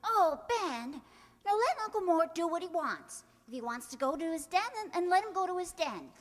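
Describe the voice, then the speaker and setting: A woman's voice: very high-pitched, big pitch swings, moderate pace, big-room sound. A woman playing a sensible but impatient young niece, coaxing her family in old-time radio comedy style. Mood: flustered, insistent.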